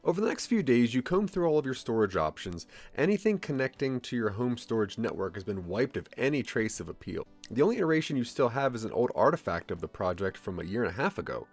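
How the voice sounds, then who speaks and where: A man's voice: medium pitch, sing-song, fast talker, hardly any pauses, a little mellow, soft background music. A man narrating a scripted audio drama in the second person.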